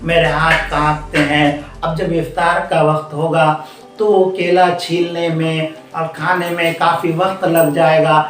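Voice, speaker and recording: A man's voice: a little high, level tone, a little slow, very echoey, recorded from a distance, background noise, lightly acted. A man voicing a frail, polite old male monkey, deferential and pleading, in a storybook tale. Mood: humble pleading.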